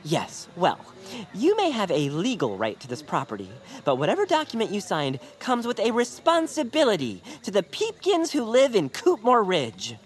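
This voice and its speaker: Earnest, fretful kid, hugely animated. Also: female voice, mid-range voice, normal speed. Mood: politely firm. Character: earnest, anxious, over-organized young kid activist. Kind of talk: comic audio drama for children.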